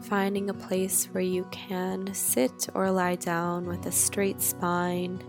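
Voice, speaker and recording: A woman leading a guided meditation in a soothing, gentle way, with drawn-out phrases and pauses. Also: fairly low pitch, monotone. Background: guitar music.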